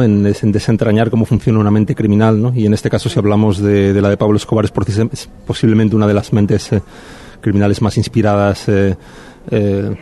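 A middle-aged man speaks in a low, mellow voice. His delivery is flat and reflective, full of pauses, restarts and little tag questions as he answers at a press event. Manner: thoughtful, hesitant, many pauses and restarts. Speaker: film director. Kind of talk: festival press interview.

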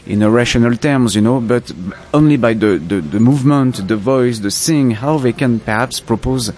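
A man from France with a French accent, a filmmaker answering interview questions in a halting, reflective way as he searches for words. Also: mid-range voice, darkish tone. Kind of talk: festival interview.

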